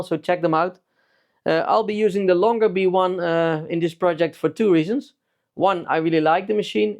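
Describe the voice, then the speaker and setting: A man's voice: fairly high pitch, vocal fry, a little mellow. A man with a Dutch accent, a native Dutch speaker, giving a casual tutorial as a DIY video maker. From Netherlands.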